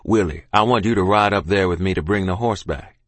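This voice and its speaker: A man voicing a stubborn, calm rural father in an audiobook, firm and commanding. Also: low-pitched, brisk, dry recording, voice pushed down. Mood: urgent, firm. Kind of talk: audiobook character dialogue.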